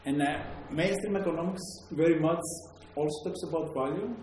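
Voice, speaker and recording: A man's voice: a little high, a little fast, darkish tone. A man with a Greek accent giving an academic talk to a live audience, hesitant, with many fillers and restarts. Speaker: lecturer. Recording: very echoey room, faint background noise.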